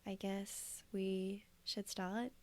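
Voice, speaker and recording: A woman's voice: medium pitch, little pitch movement, drawn-out words, darkish tone. An Australian woman in her thirties, co-hosting a casual podcast in a chatty way with frequent fillers and easy laughter. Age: 30s. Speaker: podcast co-host. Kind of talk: casual chat.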